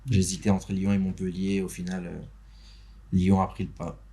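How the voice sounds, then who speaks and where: A young man from Benin talks about his work in a relaxed, halting way, stopping often to restart his sentences. His voice sits a little low. He sounds like a guest chatting on a podcast. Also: a crowd in the background. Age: young adult. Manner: hesitant, many fillers and restarts. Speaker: podcast guest, interview host and motion designer. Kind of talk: casual chat. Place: podcast studio.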